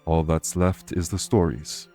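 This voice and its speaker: A man playing a courteous, dour immortal king in an audio roleplay, speaking in a formal, measured way. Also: sits low, steady tone, mellow, soft background music. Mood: somber, dour. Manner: formal, measured, gravely polite. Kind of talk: immersive audio roleplay acting addressed to listener.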